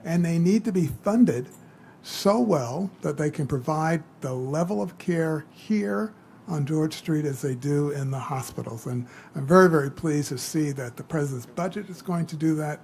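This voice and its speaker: A man in his seventies with a slightly high voice speaks as a medical dean at a public meeting. His delivery is measured and deliberate, with faint noise in the room behind him. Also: animated, gravelly, darkish tone. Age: 70s. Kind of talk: informal prepared remarks at a public roundtable. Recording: live event microphone with background room noise.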